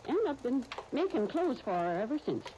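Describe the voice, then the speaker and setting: A woman's voice: fairly high pitch, very animated, brisk, mellow, highs cut off. A woman playing a blunt, proud but kind-hearted spinster dressmaker, no longer young, in a 1930s radio soap. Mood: brisk, proud. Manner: blunt, crusty, wry, opinionated. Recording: faintly noisy vintage 1930s radio recording.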